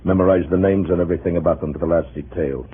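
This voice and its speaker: An actor playing a big, stern communist party boss in a 1950s radio drama, speaking in a cold, curt, commanding way. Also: male voice, low-pitched, fairly even intonation, racing, lightly acted. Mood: stern, insistent. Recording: phone-narrow old radio recording, faint noise.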